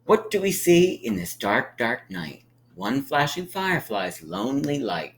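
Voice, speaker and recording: A man reading a picture book aloud to young children like a teacher, with emphatic pauses and encouraging, friendly asides. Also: medium pitch, sing-song, a little hiss or hum. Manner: encouraging, inviting listeners to count along; drawn-out, emphatic storytelling delivery with dramatic pauses.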